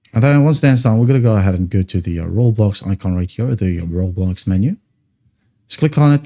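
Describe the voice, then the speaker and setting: A man hosting a casual step-by-step software tutorial, often checking in with short tags like okay and right. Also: on the low side. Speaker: tutorial host.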